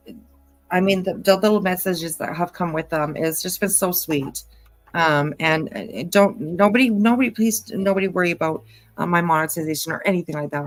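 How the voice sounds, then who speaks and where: A woman with a low voice talks in a loose, halting way, full of ums and restarts. She is chatting casually to her viewers as the host of her channel. The recording is dry, with faint music behind her. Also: dry recording. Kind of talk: casual commentary chat.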